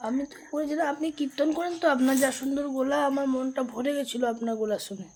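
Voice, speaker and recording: A woman's voice: sits high, quick pace, darkish tone. A woman making a playful prank phone call in a gushing, pleading manner. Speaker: prank caller, vlogger.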